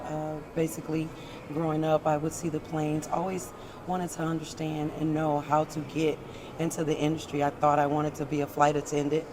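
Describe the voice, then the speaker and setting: A middle-aged woman on a panel telling her career story in a matter-of-fact way. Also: deep voice. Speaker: aviation scheduler, panelist. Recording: busy crowd noise around the voice. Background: crowd chatter.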